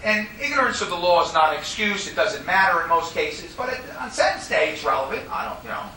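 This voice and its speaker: Echoey, high-voiced American man lecturing. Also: big pitch swings, fast talker, sharp tone. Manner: emphatic, conversational, acts out quoted dialogue. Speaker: law lecturer teaching a class. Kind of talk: informal classroom lecture. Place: classroom, recorded from a distance. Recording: echoey room, distant mic, faint noise.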